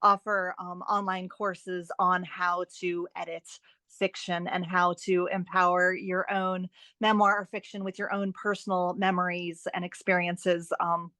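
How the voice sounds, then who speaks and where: A woman speaking as a guest author in a podcast interview, expressive and enthusiastic in a conversational way. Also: fairly low pitch, unhurried, no room sound.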